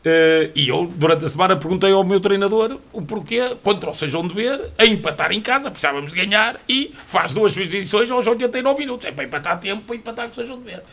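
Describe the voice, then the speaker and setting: A man whose high, gravelly voice rattles quickly through a wry story. He speaks as a club president on a radio sports panel. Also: expressive, a bit bright.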